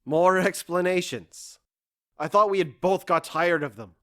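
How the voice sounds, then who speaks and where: A man's voice: high voice, measured pace, fairly bright tone. Man acting stoic, embittered, weary husband. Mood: scornful. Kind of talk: dramatic audio drama acting.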